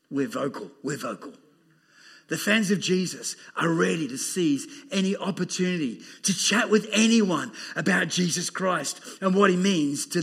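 A man with an Australian accent and a loud, booming voice, preaching an emphatic sermon to a church congregation. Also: breathy edge. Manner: emphatic, laughing, exclaiming amen. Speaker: pastor.

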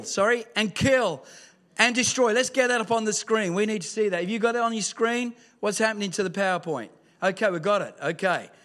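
A man preaching a sermon in a church, mixing scripture with humorous stories and sometimes rising to a shout. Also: high voice. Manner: lively storytelling with humour, rising to shouts. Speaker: pastor. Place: church hall with screen.

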